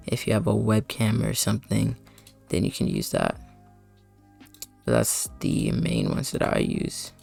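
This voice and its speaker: Guy on a desktop mic, really gravelly and lowish, rambling with lots of uhs. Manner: loose and unscripted, many fillers, trails off mid-sentence. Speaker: streamer giving a tutorial. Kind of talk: casual screen-recording walkthrough. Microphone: desktop mic at a computer.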